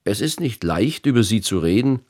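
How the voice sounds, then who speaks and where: A man's voice: fairly low pitch, big pitch swings, normal speed, a little mellow. A male radio host reading a reflective, self-critical introduction in a studio. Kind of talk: scripted radio presentation.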